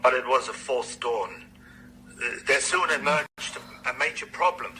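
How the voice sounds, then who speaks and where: An actor playing a grave, dejected medical researcher in a radio drama, halting and formal in his phrasing. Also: medium pitch, sharp tone, slight background noise, rough recording.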